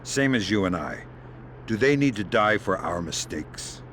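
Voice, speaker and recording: An actor playing a calm, middle-aged American covert operative in a video game, speaking in a dry, deadpan way. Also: male voice, lowish voice, measured pace, slight background noise. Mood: calm, persuasive.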